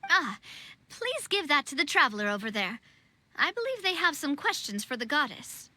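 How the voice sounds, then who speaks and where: A woman playing a gentle, forgiving young royal ruler in a fantasy video game, composed and courteous as she explains things. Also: high voice, very animated, normal speed, no room sound, heavily acted. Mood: polite, helpful.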